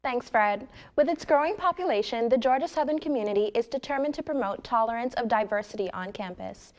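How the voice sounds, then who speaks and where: A woman field reporter delivering a brisk, scripted news stand-up. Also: on the high side, lively intonation, lo-fi sound.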